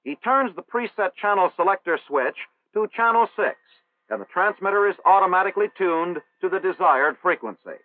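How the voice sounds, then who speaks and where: A man narrating a military training film in a formal, measured, instructional manner. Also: high-pitched, lively intonation. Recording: old 1960s film soundtrack, tinny.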